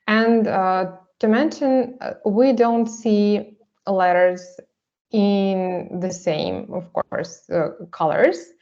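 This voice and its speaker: Woman talking slow n halting, lots of pauses, kinda dull lo-fi sound. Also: medium pitch, a little mellow. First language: Russian. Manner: halting, hesitant, frequent pauses. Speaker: artist presenting her work. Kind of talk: panel presentation.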